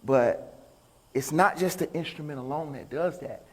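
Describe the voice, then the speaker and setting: Man, higher-pitched voice, upbeat stage speaker, folksy banter, reverberant hall. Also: moderate pace. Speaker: motivational speaker and talkbox musician. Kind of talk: live stage talk to an audience. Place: large indoor stage venue.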